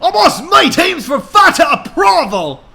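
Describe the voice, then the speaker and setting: A man voicing a furious overprotective father with strained, exaggerated shouting in a fan-fiction parody. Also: extremely high pitch, big pitch swings, unhurried, creaky, pitched above the performer's own voice, full character voice. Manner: bellowing, exaggerated outrage. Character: furious overprotective redneck father, loud and menacing.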